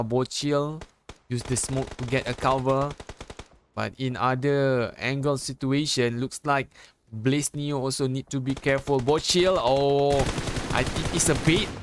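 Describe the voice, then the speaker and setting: A man from Malaysia, Malay-speaking by first language, casting a mobile esports match in halting English with a Malaysian accent. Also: a little high, a little hiss or hum. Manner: halting, self-correcting English with pauses. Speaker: esports caster. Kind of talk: live game commentary.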